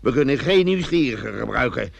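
A man's voice: medium pitch, lively intonation, a little fast, gravelly, dry recording. An actor in a radio play playing a bossy, scornful man who schemes over secret treasure and loses patience easily. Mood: conspiratorial, impatient.

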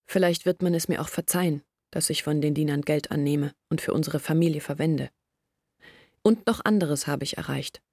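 A woman's voice: sits low, moderate pace, a little mellow, no room sound, clean studio sound. An actress playing Olga, an earnest young village woman, telling her story in a radio play. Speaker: lead character in radio play. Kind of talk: radio drama acting.

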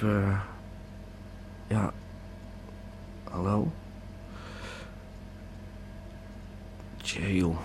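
A man's voice: medium pitch, slight background noise. A man playing a panicky, bewildered holidaymaker tripping on a pill in an audio drama monologue, hesitant and stammering. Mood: paranoid unease. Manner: stammering, hesitant, repeating words.

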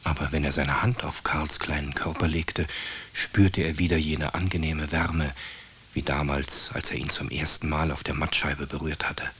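Male storyteller for radio drama, deep register, scripted narration, faint hiss. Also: level tone, moderate pace, a bit bright.

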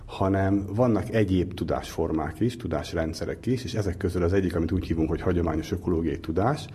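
A man gives expert explanations in a radio interview. His voice is deep and dark, with a husky grain. He talks as a scientist setting out concepts.

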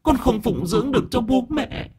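Fields: Figure: soft ghost girl, voiced by a man. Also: very high-pitched, animated, normal speed, creaky, slightly rough, pitched above the performer's own voice, heavily acted. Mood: sorrowful.

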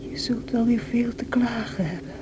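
An actress playing a feverish, wild and distraught young married woman in a radio drama, with a breathy, anguished delivery. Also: fairly high pitch, steady tone, moderate pace, lightly acted. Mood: bitter, defiant. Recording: noisy old radio play recording.

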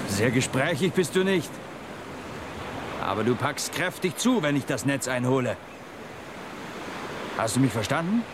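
A man playing a gruff, domineering, hot-tempered fisherman in a children's radio play, barking orders in rough colloquial speech. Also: medium pitch. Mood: gruff, commanding. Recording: noisy sea and waves behind.

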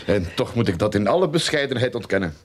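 A man playing a glib, money-minded private detective boss in a radio play, smooth-talking and mock-humble. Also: medium pitch, lively intonation, fast, close to an everyday voice. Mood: soothing, unctuous.

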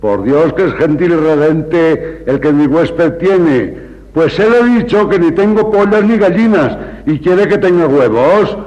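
High-voiced theatrical innkeeper. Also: male voice, animated, measured pace, darkish tone. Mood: indignant. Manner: theatrical, old-fashioned diction. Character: evasive innkeeper in a Spanish classic, indignant. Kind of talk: vintage radio drama acting. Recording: dull lo-fi archive radio recording.

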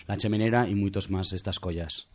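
A man's voice: low-pitched, steady tone, brisk. A man presenting semi-scripted radio listings, going through them hesitantly and sometimes repeating words. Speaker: radio show contributor presenting music and festival picks.

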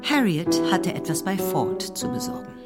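A woman's voice: fairly low pitch, very animated, normal speed, loud music behind the voice. A woman giving composed, scripted literary narration as the storyteller of a radio play.

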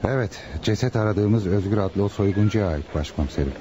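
A man's voice: lowish voice, a little fast, close to an everyday voice. A man acting a dutiful junior police detective, formal and respectful as he reports in a radio drama. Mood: matter-of-fact. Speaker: voice actor. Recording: dull, noisy radio play recording.

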